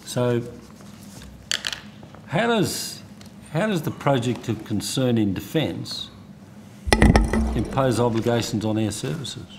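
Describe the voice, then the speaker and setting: An Australian man questioning officials as a senator in a hearing, with a blunt, halting, sardonic manner. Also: medium pitch, lively intonation, creaky, very noisy. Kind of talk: parliamentary questioning. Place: committee hearing room.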